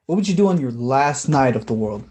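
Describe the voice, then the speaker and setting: A woman's voice: extremely low pitch, expressive, brisk, mellow. A woman acting a mild, wistful, evasive young man in a staged play reading, in a casual, hesitant way. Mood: musing.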